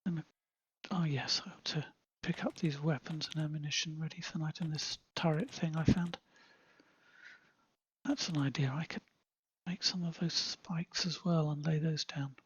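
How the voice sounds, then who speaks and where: A man voicing a confident jungle man who thinks of himself as British, with a British accent and a husky voice, talking in a chatty, understated way and muttering asides as he plays a game. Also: a little high, steady tone, moderate pace, fairly bright tone, dull audio. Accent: British English. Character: confident jungle man Tarzan turned proper British gent. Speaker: gameplay commentator in character. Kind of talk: in-character gameplay narration.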